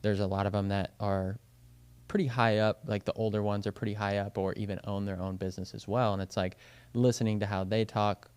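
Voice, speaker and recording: A man's voice: on the low side, flat delivery, fairly quick, a little mellow, clean tone. A young adult man, a baseball coach, talking casually on a podcast and rambling with lots of fillers. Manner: rambling, heavy on fillers like "you know" and "like".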